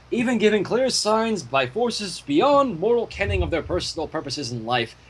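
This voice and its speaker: A man narrates a story with theatrical flair. His voice is high for a man and rough-edged. He swings through lively intonation as he reads, deliberate and dramatic. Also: poor audio. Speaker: fan fiction reader.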